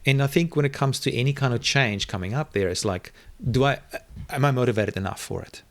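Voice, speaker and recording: A man's voice: medium pitch, a little fast. A man whose first language is Afrikaans, musing in an interview with frequent fillers and restarts. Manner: thoughtful, many fillers and restarts. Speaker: interview guest. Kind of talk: reflective conversational interview.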